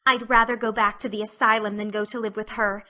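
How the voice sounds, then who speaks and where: A woman's voice: on the high side, flat delivery, a little fast, bright, forceful tone. A woman voicing an earnest, imaginative orphan girl with a pleading, breathless manner in an audiobook drama. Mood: passionate.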